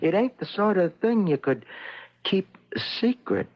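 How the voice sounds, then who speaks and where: A stammering, henpecked radio-drama husband. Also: male voice, high-pitched, sing-song, normal speed, heavy background noise. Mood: sheepish, flustered. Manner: hesitant, stammering, placating. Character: timid, sheepish, henpecked working-class husband and horse lover. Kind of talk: old-time radio comedy drama acting. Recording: dull lo-fi old radio recording.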